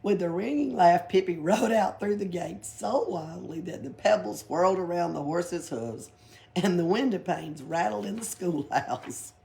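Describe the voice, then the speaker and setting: Animated woman reading a children's storybook. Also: fairly low pitch, a little slow, vocal fry. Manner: amused, unpolished delivery with slips. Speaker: library story reader. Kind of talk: children's storybook narration, read aloud.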